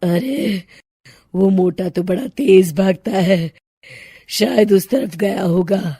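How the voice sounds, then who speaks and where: A woman voicing a dangerous, taunting witch in a cartoon story, with a drawn-out delivery. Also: mid-range voice, mellow, slightly rough, dry recording, studio quality. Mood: irritated. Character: dangerous menacing witch with big teeth, out hunting.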